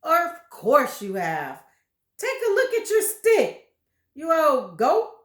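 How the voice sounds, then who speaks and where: A woman's voice: sits high, wildly swooping pitch, takes it slowly, a bit bright, echoey room, voice pushed up, exaggerated voice. A woman voicing a nasty old husband who taunts his wife with a cruel trick, in a storybook reading. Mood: taunting. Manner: taunting, mock-alarmed, jeering.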